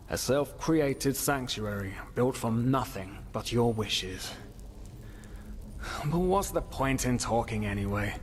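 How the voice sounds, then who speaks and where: A weary, despairing prisoner from a fantasy video game. He is half-mad and philosophical, dragging out halting thoughts at a very slow pace in a middle-pitched voice. Long hall reverb surrounds him, with faint noise underneath. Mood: weary, resigned. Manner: brooding, halting, philosophical, with sighs and whispery trailing words. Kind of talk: dramatic video game dialogue acting.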